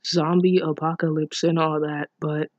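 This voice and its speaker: A person reading fan fiction aloud casually, breaking off for expressive, incredulous asides full of swearing. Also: medium pitch, normal speed, lo-fi sound. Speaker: online reader reacting to fan fiction. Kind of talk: casual read-aloud with running commentary.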